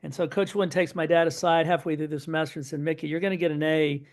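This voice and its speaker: A middle-aged man, a guest on an interview podcast, telling his family's story in a lively, anecdotal way full of asides. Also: a little high, fast talker. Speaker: podcast guest, son of Holocaust survivors.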